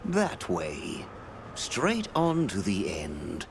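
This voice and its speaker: Slow, deep, riddling forest creature. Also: male voice, wildly swooping pitch, vocal fry, noisy background, heavily acted. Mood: calm, cryptic. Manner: formal, riddling, measured. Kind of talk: video game cutscene acting.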